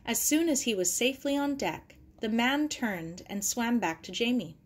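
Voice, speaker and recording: A woman's voice: on the high side, expressive, moderate pace. Woman reading a children's story aloud. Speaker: audiobook reader. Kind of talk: scripted story narration, children's novel.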